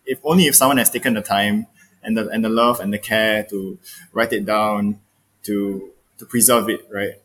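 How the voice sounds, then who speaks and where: Husky young man, earnest. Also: on the low side, steady tone, moderate pace, a bit bright. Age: young adult. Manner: earnest, repeats words. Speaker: guest. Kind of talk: casual panel chat.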